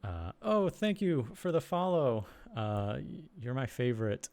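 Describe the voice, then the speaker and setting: A young man with a Chicago accent, chatting casually and haltingly to viewers on a live stream from his computer desk. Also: medium pitch, very animated, vocal fry, darkish tone, dry recording. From Chicago area, United States. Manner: rambling, halting, lots of ums and restarts. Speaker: streamer and blogger.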